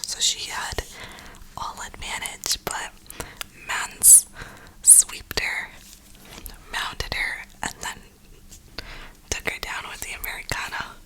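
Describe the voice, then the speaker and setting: A young woman rambling casually in a soft, breathy ASMR whisper, with informal, slangy phrasing. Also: poor audio. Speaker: ASMR gaming streamer.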